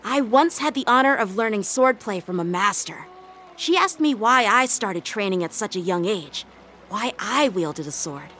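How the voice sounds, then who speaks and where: A woman's voice: medium pitch, expressive, moderate pace, fairly bright tone, a little hiss or hum, lightly acted. A woman voicing a polite, earnest teenage boy swordmaster in an English video game, patient, courteous and instructive.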